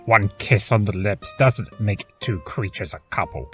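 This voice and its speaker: A man's voice: on the low side, unhurried, over music, lightly acted. A man playing a bitter, self-loathing creature of chaos in an audio drama, theatrical and volatile. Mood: cold, resigned. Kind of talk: fan audio drama acting.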